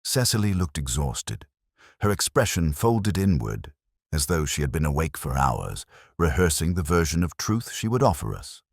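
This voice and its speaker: A man reading scripted first-person detective narration in a measured, literary, brooding manner. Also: very deep voice, big pitch swings, slightly rough, no echo, studio quality.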